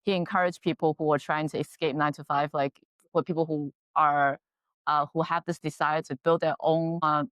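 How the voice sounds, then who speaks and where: A woman host from China, a native Chinese speaker, asks her guest questions in a curious, friendly way, with small slips in her English. Her voice is deep for a woman. The recording is close and dry. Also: level tone, normal speed, smooth, no echo. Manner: curious, warm laughs, occasional grammar slips. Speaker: podcast host, interviewer. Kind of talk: interview questions, casual chat.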